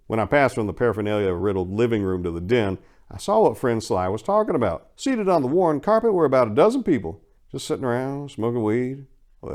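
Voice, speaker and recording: Animated, sardonic male storyteller. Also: medium pitch. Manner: sardonic, exasperated, theatrical. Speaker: narrator reading a short story. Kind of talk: dramatic first-person storytelling.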